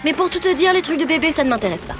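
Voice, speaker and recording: A woman's voice: animated, rapid-fire, music in the background. A woman voicing a self-assured young girl in a children's cartoon, with a girlish voice. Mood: dismissive. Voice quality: high-pitched, girlish. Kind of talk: French-dubbed children's cartoon acting.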